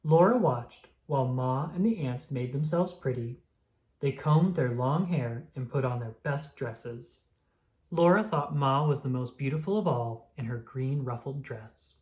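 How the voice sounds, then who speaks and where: Storybook narrator, slow gentle delivery, mid-range voice, read-aloud for children. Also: mid-range voice. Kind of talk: reading a children's picture book aloud.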